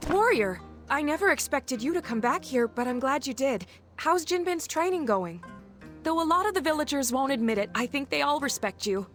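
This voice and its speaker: A gentle, anxious young villager in a video game. She speaks in a high, earnest voice with soft music underneath. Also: expressive, moderate pace. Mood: warm, hopeful. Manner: earnest, pleading. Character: anxious, gentle young village woman worried for her brother. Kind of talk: video game character acting.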